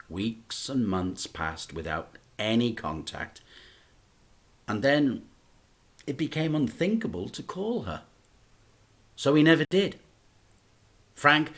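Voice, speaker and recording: A man, a teacher, reading a children's book aloud in an expressive, dramatic storytelling manner. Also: medium pitch.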